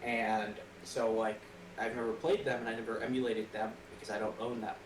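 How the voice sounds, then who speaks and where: A man's voice: on the low side, little pitch movement, brisk. A man with a nasal, stuffy voice chatting casually on a call, rambling with lots of fillers. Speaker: co-host on a call. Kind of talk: casual chat. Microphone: old webcam microphone. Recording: distant echoey webcam mic with noise.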